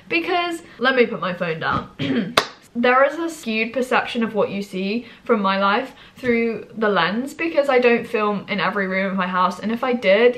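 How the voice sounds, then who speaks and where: A woman in her twenties from Britain, croaky and hoarse from a cold, chatting to camera in a rambling, casual way. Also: on the high side, fairly bright tone. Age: 20s. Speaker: vlogger.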